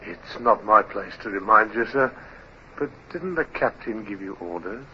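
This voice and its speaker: An actor playing an insolent sailor in a radio drama, slyly deferential and full of pointed insinuation. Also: male voice, on the low side, animated, moderate pace, lightly acted. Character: insolent, insinuating male sailor, the captain's toady. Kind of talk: 1950s radio drama acting. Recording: muffled, hissy vintage radio recording.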